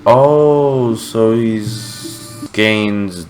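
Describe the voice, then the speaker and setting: Male gaming reactor, young, deep and slow, incredulous slang, casual and halting. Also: a little mellow. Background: game music.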